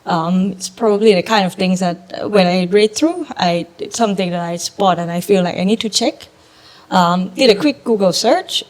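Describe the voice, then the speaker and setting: A young woman gives a talk to an audience at a middle pitch. She explains things step by step in a measured way. She fills pauses with ums and her English grammar slips now and then. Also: rough recording. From Thailand. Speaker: startup co-founder giving a talk. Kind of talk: presentation to a live audience.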